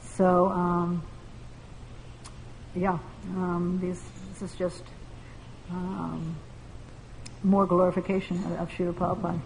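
Woman discussion leader, low-ish voice, halting earnest delivery, roomy hall sound with faint hiss. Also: monotone, a little mellow. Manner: earnest, halting, many fillers. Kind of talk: devotional group discussion. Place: large room with a group.

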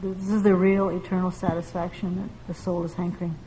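Woman discussion leader, low-ish voice, halting earnest delivery, roomy hall sound with faint hiss. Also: flat delivery, warm tone. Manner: earnest, halting, many fillers. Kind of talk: devotional group discussion. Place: large room with a group.

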